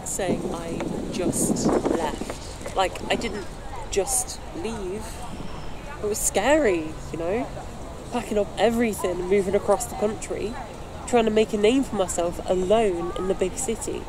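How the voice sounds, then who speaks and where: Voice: mid-range voice, unhurried, close to an everyday voice. An actor as a bitter young musician, noisy outdoors. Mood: hurt, reproachful. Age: young adult. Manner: emphatic, reproachful, repeating phrases. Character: bitter, wounded young musician confronting an old best friend. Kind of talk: live theatre dialogue. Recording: noisy outdoor location recording.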